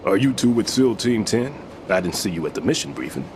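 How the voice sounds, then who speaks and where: A very deep, animated older man's voice with clear noise behind it. He is a retired bomb disposal veteran, weary but authoritative, instructing step by step like a mentor in a video game scene. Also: brisk. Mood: matter-of-fact. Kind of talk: video game voice acting, explaining and briefing.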